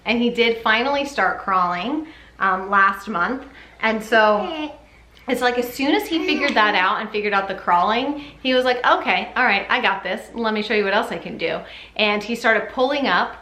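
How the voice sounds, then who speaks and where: A woman's voice: fairly high pitch, lively intonation, moderate pace, pushed and bright. A woman talking casually and chattily for a parenting vlog, rambling a little in a giggly, informal way. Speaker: parenting vlogger, mother. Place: upstairs bedroom at home. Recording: echoey room.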